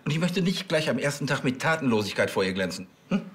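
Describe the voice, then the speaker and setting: Officious police boss, talks fast, sarcastic, really animated pitch. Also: male voice. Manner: officious, sarcastic, tags sentences with hm. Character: exasperated, pedantic male police chief.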